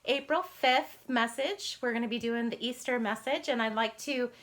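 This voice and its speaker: A woman's voice: fairly high pitch, moderate pace, fairly bright tone. A grown woman hosting a children's puppet show, speaking to little kids and chatting with the puppets. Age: adult parent. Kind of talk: addressing young children, scripted puppet dialogue.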